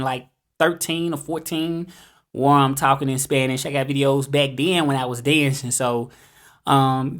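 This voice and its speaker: A man in his thirties with a Southern US accent, talking casually to camera in a rambling vlog full of fillers and restarts. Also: on the high side. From United States. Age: 30s. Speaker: vlogger. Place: home in Mexico, dogs barking outside.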